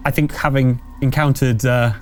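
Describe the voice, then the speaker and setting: A man's voice: medium pitch, fairly even intonation, moderate pace. Man narrating a tabletop game over music. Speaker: game master.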